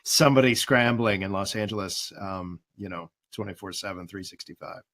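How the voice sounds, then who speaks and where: A man speaking as a guest casting director, giving conversational advice in a halting, careful way. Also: low voice, fairly bright tone.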